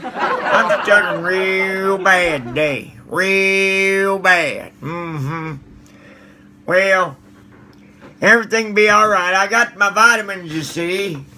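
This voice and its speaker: A man's voice: sits high, takes it slowly, sharp tone, exaggerated voice. A man playing a cranky, folksy old man who stammers and mutters mild curses at his viewers. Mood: pleading. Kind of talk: comedic character monologue to viewers.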